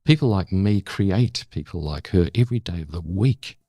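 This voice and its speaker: Older aussie guy, really deep gravelly voice, sounds dry and close up. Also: expressive, moderate pace, dark tone, no echo. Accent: Australian. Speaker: interview guest, former foreign correspondent. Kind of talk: dramatic spoken-word recital of own prose, then reflective conversation.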